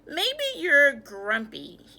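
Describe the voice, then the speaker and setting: Storybook gorilla neighbour, voiced by a woman: friendly, meddling, emphatic, with a bright tone. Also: high-pitched, wildly swooping pitch, drawn-out words, voice pushed up, full character voice. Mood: concerned.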